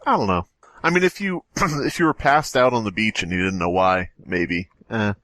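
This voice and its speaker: A man's voice: fairly low pitch, normal speed, pushed and bright, no room sound. A man co-hosting a podcast, reading a story aloud casually with mocking, playful riffs. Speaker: podcast co-host.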